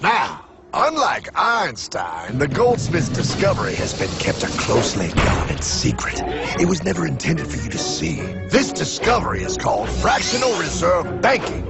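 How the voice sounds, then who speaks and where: A man's voice: fairly high pitch, wildly swooping pitch, normal speed, vocal fry, exaggerated voice. A man playing a fervent patriot zealot. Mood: preachy. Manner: fervent, theatrical lecturing. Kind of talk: cartoon voice acting. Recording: loud background music.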